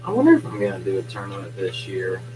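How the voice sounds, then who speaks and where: A young man gives casual play-by-play with a hoarse, fading voice, keeping it low and hushed. His voice sits on the low side. A faint hum runs under the home recording. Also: wildly swooping pitch, quick pace, rough recording. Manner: quiet, subdued talk. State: losing his voice. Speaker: live streamer doing play-by-play. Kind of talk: casual live-stream sports commentary. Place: at home at night.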